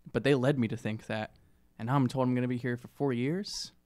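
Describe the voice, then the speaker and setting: A woman's voice: extremely low pitch, steady tone, brisk, darkish tone. A woman takes part in a tabletop game, slipping casually between narrating and speaking in character. She sounds conversational. The recording is dry, free of echo. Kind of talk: casual improvised role-play.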